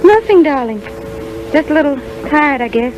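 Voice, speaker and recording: A woman playing a gently insistent, self-effacing doctor's wife in a 1930s radio drama. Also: high-pitched, animated, normal speed, muffled recording, music in the background. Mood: tired, gentle. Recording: noisy vintage 1930s radio broadcast.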